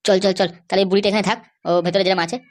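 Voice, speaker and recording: A woman's voice: medium pitch, fairly even intonation, rapid-fire, natural voice. A woman voicing a sly, insistent male schemer and constable in an amateur comedy cartoon. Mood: scheming, gossipy.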